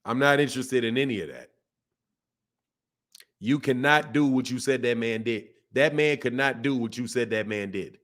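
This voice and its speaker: A man hosting a casual boxing livestream and talking to his chat. His voice is hoarse from yelling, and he is emphatic, repeats points and often calls listeners bro or man. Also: medium pitch, moderate pace. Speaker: boxing channel host.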